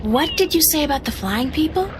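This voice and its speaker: Young game heroine, polite, asks lots of questions, lively voice, hiss behind. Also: female voice, high-pitched, a little fast. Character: curious young woman adventurer, polite.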